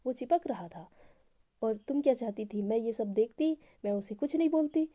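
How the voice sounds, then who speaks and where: Woman doing jealous young woman in a rage, loud, higher, dark mellow tone, storytelling voice. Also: lively intonation. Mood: furious. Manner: heated, accusing, rapid outbursts.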